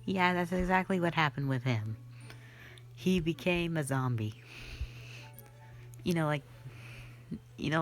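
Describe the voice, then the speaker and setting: Casting: actress as an easygoing, flirty sorceress mentor for fantasy audio roleplay; deep female register, leisurely and offhand, drifting into asides. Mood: chatty, offhand. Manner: rambling, hesitant, offhand, conversational with frequent asides.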